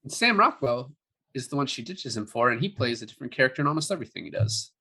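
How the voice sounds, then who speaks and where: A man's voice: medium pitch, big pitch swings, fast talker, sharp tone, husky. A man co-hosting a podcast in a casual film review chat, hedging as he works through his thoughts. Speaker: podcast co-host.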